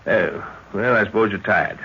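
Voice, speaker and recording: An actor playing a middle-aged professor and explorer, fatherly and measured, speaking formally in an old-time radio drama. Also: fairly low pitch, slightly rough. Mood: genial, knowing. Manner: formal, deliberate. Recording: muffled, hissy old radio recording.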